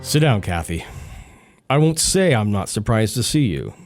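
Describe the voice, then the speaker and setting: An actor playing a tormented, vengeful spurned lover, bitter and mocking, in intense radio melodrama. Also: male voice, fairly low pitch, very animated, normal speed, faint music behind. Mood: cold, mocking. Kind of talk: melodramatic old-time radio drama acting.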